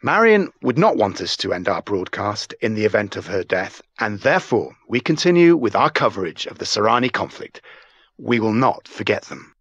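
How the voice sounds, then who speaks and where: A man playing a composed, solemn TV news anchor with formal, measured delivery in a video game. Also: fairly low pitch, sing-song, fairly bright tone, husky, no room sound, lo-fi sound, full character voice. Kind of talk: scripted newscast in a game cutscene.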